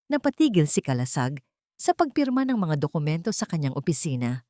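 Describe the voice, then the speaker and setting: A woman narrating a scripted audiobook story in a deliberate, dramatic way. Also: low-pitched, big pitch swings, mellow, no echo, clean studio sound.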